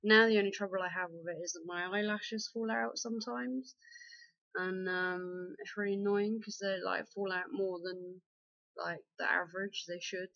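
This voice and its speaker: Young vlogger, gravelly fry, dull dry webcam sound, rambly casual talk. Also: male voice, sits high, fairly even intonation, moderate pace, bright, forceful tone, dry recording. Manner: rambling, many fillers and restarts. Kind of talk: casual personal chat to camera. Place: dim bedroom indoors. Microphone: webcam at close range.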